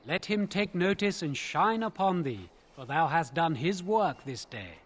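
An actor voicing a solemn, reverent chanter who declaims holy scripture in a fantasy video game. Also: male voice, on the high side, expressive, unhurried, a bit bright, a little hiss or hum. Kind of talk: scripted fantasy game dialogue, liturgical recitation.

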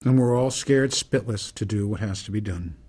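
A man acting a worried, idealistic environmental rebel in a radio drama, with a husky voice. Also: lowish voice, normal speed, darkish tone. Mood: earnest protest.

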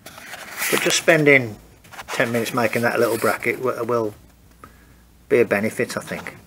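A man's voice: medium pitch, normal speed, fairly bright tone, a little hiss or hum, low-quality recording. A man with a head cold, casually talking through his car wiring work in a home garage, hesitant and thinking aloud. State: congested from a cold. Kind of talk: casual workshop walkthrough.

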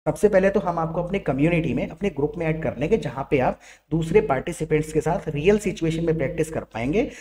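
A middle-aged Indian man teaches through a headset mic in a small indoor room. His tone is mellow and unhurried. He explains step by step and keeps checking that his listeners follow. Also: a little high, lively intonation. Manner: explains patiently, often checks in with "right?". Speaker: English teacher. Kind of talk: explanatory lesson.

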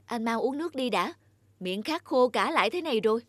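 A woman voicing a kind-hearted, excitable young peasant man in a folk-tale cartoon, with earnest exclamations. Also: a little high, fairly quick, noisy background, close to an everyday voice. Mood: concerned.

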